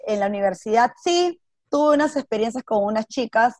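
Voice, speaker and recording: A woman's voice: on the high side, lively intonation, brisk, a little mellow, no echo. Peruvian woman telling personal stories, dry close sound. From Peru. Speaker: interview guest. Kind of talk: casual personal storytelling with some English words mixed in.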